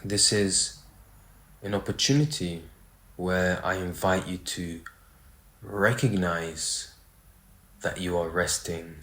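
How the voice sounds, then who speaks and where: Very slow, low-voiced man guiding meditation. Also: fairly bright tone. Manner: slow, pausing between short phrases. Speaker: meditation guide. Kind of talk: guided meditation. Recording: roomy, echoey sound.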